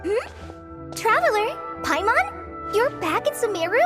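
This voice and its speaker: A shy, earnest young forest ranger, talking modestly and a bit flustered in a softer register than those around her. Music plays clearly in the background. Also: extremely high pitch, big pitch swings, slow pace, long reverb tail, heavily acted. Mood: surprised. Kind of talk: video game cutscene acting.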